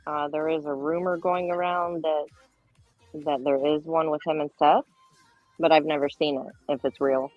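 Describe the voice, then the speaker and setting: A woman's voice: low-pitched, level tone, moderate pace, dry recording. A woman speaking as a guest in a casual livestream chat. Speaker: panel guest. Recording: tinny phone-like line, music underneath.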